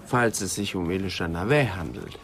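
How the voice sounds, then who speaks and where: An actor playing a wry, teasing police assistant in a radio crime drama, talking in a colloquial, dryly ironic way. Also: male voice, sits low, normal speed, very noisy. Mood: dry, businesslike. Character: wry, teasing male police assistant reporting to his inspector.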